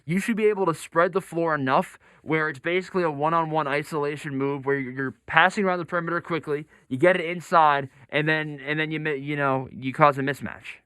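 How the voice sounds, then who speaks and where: Bright, crisp-voiced man arguing sports. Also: fairly high pitch, steady tone, brisk, few pauses, no echo. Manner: argumentative, insistent. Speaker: sports radio talk show host. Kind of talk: sports talk debate about basketball.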